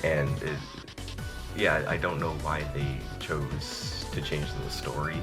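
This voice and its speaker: A man's voice: very deep voice, little pitch movement, slow pace, music in the background. A young man casually reviewing a movie, chatty and exasperated, with lots of fillers and short laughs. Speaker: video reviewer.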